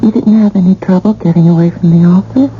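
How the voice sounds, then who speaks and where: An actress playing a dying young wife in a radio drama, faint, halting and pleading. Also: female voice, on the low side, close to an everyday voice. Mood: weak, pleading. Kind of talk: 1980 radio drama acting. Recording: dull, noisy old radio broadcast recording.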